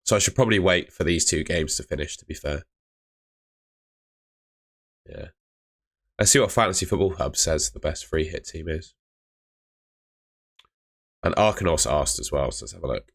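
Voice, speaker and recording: A young man chatting live with viewers on a stream, laid-back and chatty, his voice fading and hoarse. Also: rumbling low, moderate pace, long pauses, a bit bright. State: losing his voice.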